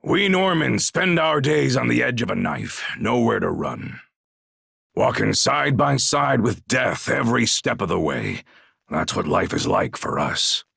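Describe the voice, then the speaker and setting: An actor playing a brash, blunt Norman warrior chief in a video game, gravelly and husky, with a gruff, folksy, commanding way of talking. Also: mid-range voice, sing-song, unhurried, pushed and bright, dry recording, clean studio sound, heavily acted. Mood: gruff, frank. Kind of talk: video game cutscene acting.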